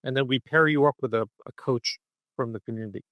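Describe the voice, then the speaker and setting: A man of middle pitch speaks as a podcast guest, keen to explain, and keeps checking in with the listener with a quick "okay?". The sound is dry and close, with no room around it. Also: no echo, narrow, tinny audio. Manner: punctuates points with "okay?" and "right?". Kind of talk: animated conversational explaining.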